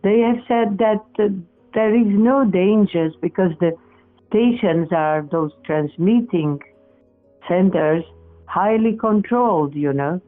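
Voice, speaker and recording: A woman's voice: mid-range voice, slow, faint music behind. An older woman from Portugal, a native Portuguese speaker, talking as a guest and searching for words with frequent restarts. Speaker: guest, researcher of spirit voice recordings. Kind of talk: interview over a remote call. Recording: tinny phone-like line.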